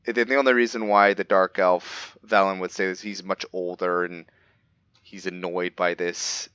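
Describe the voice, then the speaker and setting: A man giving casual commentary on his roleplay playthrough of a game, measured and pausing mid-sentence. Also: low voice, little pitch movement, fairly bright tone, smooth, no echo. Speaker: gamer narrating a roleplay playthrough. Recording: slightly dull mic, faint game music.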